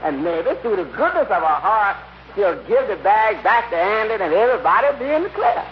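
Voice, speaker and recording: An actor playing a slick, cheerfully crooked lawyer in an old-time radio comedy, with stammering, folksy patter. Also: male voice, sits high, big pitch swings, fairly quick. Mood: scheming. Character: slick, shady fast-talking male lawyer, cheerfully crooked. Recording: muffled, hissy old radio recording.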